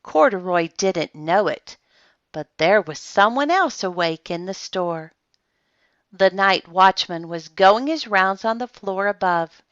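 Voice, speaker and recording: Woman doing storybook read aloud, super animated, takes her time, bit lo-fi. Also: mid-range voice, no room sound. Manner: dramatic, deliberate pauses and emphasis. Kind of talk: expressive children's storybook narration.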